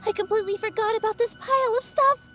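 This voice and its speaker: A kind, self-effacing young dancer in a video game. Her voice sits high and light, and she speaks softly and politely. Game music runs underneath. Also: steady tone, fast. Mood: gentle. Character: gentle young female dancer, kind and modest. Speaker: game character.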